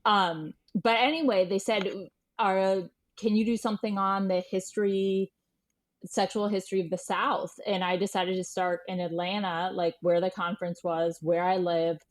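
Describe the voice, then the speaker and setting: Woman guest chatting at medium pitch. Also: flat delivery, moderate pace. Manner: informal, chatty phrasing. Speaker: interview guest, journalist and historian. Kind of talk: casual storytelling in an interview.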